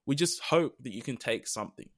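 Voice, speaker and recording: A man's voice: medium pitch, expressive, a little fast. Earnest British man, reflective podcast co-host. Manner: earnest, reflective, speaks in long trailing phrases. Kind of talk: casual podcast chat.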